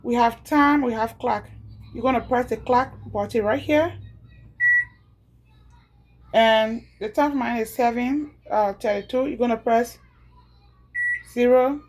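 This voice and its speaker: Liberian woman, home video creator, somewhat high voice, casual and repetitive step-by-step delivery. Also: rough recording. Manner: chatty, repeats steps slowly.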